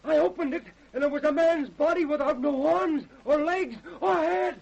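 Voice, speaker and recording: A man playing a high-strung American lumber yard worker in an old-time radio drama. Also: normal speed, vocal fry, darkish tone. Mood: panicked. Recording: dull, lo-fi vintage radio recording.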